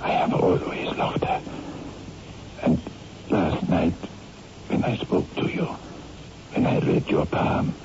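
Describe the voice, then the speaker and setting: An actor playing a dying, bitterly scheming rival in love, with a breathy, strained voice and halting delivery in a radio horror drama. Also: male voice, sits low, heavily acted. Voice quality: breathy, strained, half-whispered gravelly voice. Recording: muffled, noisy old radio recording.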